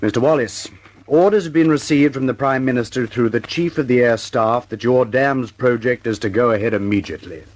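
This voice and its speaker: Halting, formal English official played by a man. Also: medium pitch, close to an everyday voice, poor audio. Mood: formal, measured. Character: formal, awkward senior male government official. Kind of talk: radio drama acting.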